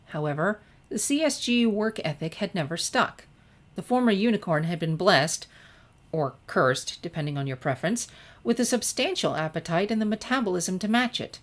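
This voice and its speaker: A woman reading a story aloud in a scripted narration style. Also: fairly low pitch. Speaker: fan fiction reader.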